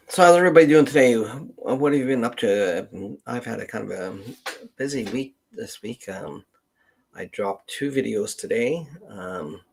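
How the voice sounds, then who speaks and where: A man chats casually as the host of a live stream. His voice sits on the low side, husky and heavy with creaky fry. He talks off the cuff, halting and hesitating as he puts his thoughts together. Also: rough recording. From Canada.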